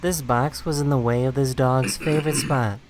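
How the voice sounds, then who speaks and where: A man's voice: mid-range voice, steady tone, moderate pace, very noisy. A man reading scripted narration for a compilation of short viral clips.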